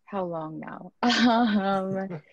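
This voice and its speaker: A woman from the Philippines, chatting casually on a panel in a relaxed, hesitant way with many fillers. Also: mid-range voice, very slow, dry recording, dull audio. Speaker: panelist, digital marketing specialist.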